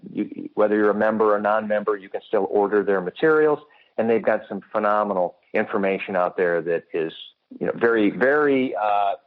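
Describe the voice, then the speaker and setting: A man with a fairly low voice speaks as an expert guest in an interview. His explanations are measured and technical, and the sound is thin and narrow, like a telephone connection. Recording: muffled phone-like line.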